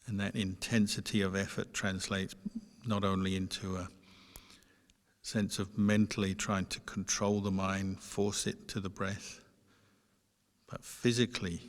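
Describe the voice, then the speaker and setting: A man teaching meditation, giving guided instruction in a measured way with pauses between short phrases. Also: deep voice. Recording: long reverberant hall sound.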